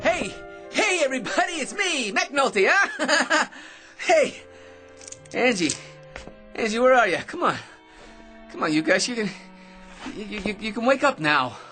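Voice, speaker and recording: A man's voice: very high-pitched, expressive, measured pace, long pauses, pushed and bright, soft background music, full character voice. An actor playing a hapless ordinary man in a radio drama, cocky or frantic, stammering and chattering nervously. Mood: flustered, nervous.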